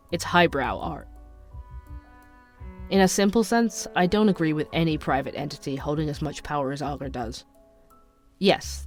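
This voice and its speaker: An actor playing a dogged, wry young male investigative podcaster in an audio drama, with a dry, measured, sardonic delivery. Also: mid-range voice, close to an everyday voice. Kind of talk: scripted narration and dialogue, audio drama.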